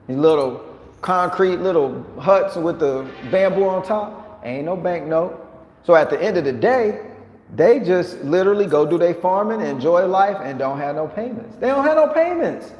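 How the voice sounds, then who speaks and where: A man vlogging casually to his viewers, talking in an animated, slangy, joking way. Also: sits high, muffled recording. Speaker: vlogger living abroad. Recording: echoey room with long reverb.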